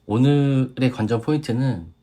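A young woman co-hosting a casual dating-show review, relaxed and musing, often with a light laugh. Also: very deep voice, normal speed.

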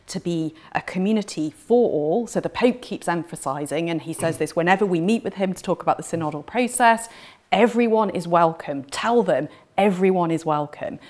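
Low-voiced woman, fluent and emphatic. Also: darkish tone. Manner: fluent, emphatic, building lists of rhetorical questions. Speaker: theologian interviewed on the synod. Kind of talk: earnest explanatory interview talk. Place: indoor room with plain walls.

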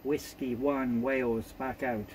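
A man's voice: mid-range voice, steady tone, measured pace, heavy background noise. A man working a CB radio, politely exchanging call signs in a procedural, repetitive way. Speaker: amateur CB radio operator.

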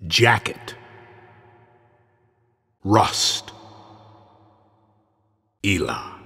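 A husky male video game villain, speaks slowly in a long, reverberant hall. He is a coldly courteous mastermind, formal and pompous, who lets menace sit under every measured phrase. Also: fairly low pitch, big pitch swings, sharp tone, full character voice.

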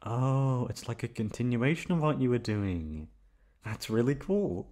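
A man with a mid-pitched voice performs an ASMR boyfriend roleplay. He sounds playful and coaxing, with lively swoops in pitch as he pleads and teases affectionately. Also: a little slow. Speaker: voice actor performing an audio roleplay.

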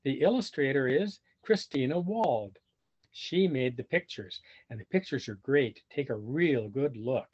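Husky man reading slowly, very animated. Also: medium pitch. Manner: deliberate, explains words and pictures simply. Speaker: storybook reader for children. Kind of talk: expressive read-aloud with asides to young listeners. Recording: dull lo-fi video call audio.

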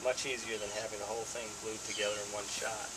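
A man's voice: on the low side, fast talker, very noisy. A middle-aged man giving a plain, step-by-step how-to demonstration of wiring a solar setup, talking while he works. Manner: plain step-by-step explaining, relaxed. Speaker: DIY instructor. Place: outdoors by a house, then indoor workshop. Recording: slightly distant camera audio with faint ambience.

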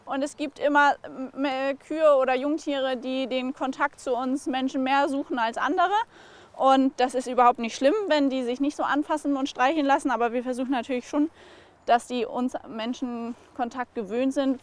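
Chatty young woman on a farm. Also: on the high side. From Germany. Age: 20s. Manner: lively, chatty, explains things quickly. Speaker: physiotherapist helping on family farm. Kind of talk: documentary interview and walk-and-talk. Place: outdoors on a farm, in a barnyard and pasture.

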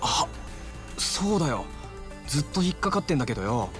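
An actor playing a brash, familiar sidekick in a video game, speaking in an impatient, teasing, casual way. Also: male voice, fairly high pitch, sing-song, brisk, over music. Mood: puzzled. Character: brash, familiar, working-class male sidekick. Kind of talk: Japanese video game cutscene acting.

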